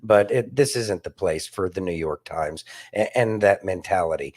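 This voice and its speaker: A man's voice: deep voice, normal speed, darkish tone. A man co-hosting a casual political discussion, speaking emphatically and repeating phrases for stress. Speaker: podcast co-host.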